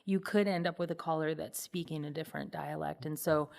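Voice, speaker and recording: A woman's voice: low voice, fairly even intonation, brisk, gravelly, a little mellow. A woman hosting a conversational interview, agreeing in short affirmations and rephrasing points as she asks questions. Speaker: interview host.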